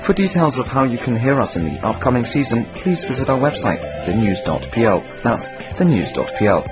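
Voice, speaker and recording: A man's voice: medium pitch, very animated, a little fast. A man reading a scripted station announcement as a radio announcer. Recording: dull lo-fi radio, music behind. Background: music.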